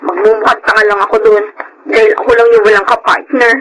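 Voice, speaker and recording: A woman's voice: medium pitch, level tone, fast talker, slight background noise, natural voice, rough recording. A woman playing a whiny, selfish, haughty young girlfriend in a Filipino radio drama. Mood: sulky.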